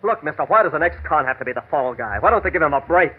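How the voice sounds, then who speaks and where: A man's voice: on the high side, expressive, fast talker, breathy edge. Tough gunman on tinny old radio. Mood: bitter, argumentative. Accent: American. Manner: slangy, argumentative, menacing. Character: tough, defensive gunman ex-convict posing as a friend. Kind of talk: classic American radio drama acting. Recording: thin, tinny vintage radio recording.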